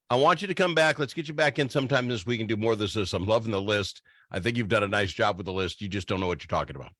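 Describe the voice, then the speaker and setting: A man hosting a radio show, bantering about music in an animated, teasing, exclamatory way. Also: fairly low pitch, quick pace, no room sound, studio quality.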